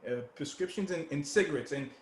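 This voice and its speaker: Young homeless man, played by a male actor: nervous, boyish, stammering, higher-pitched, stage drama read in an echoey space. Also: a little fast, close to an everyday voice. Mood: sheepish, halting. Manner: stammering, repeats himself. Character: anxious, childlike, eager young homeless man in his twenties. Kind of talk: stage play table reading.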